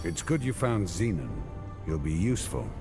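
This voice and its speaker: Stern, battle-worn fantasy knight: low-voiced, terse, commanding, expressive pitch movement, game cinematic. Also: male voice, moderate pace. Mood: cold, pragmatic. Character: stern, battle-hardened fantasy knight, single-minded and curt. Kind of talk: dramatic video game acting. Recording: background music.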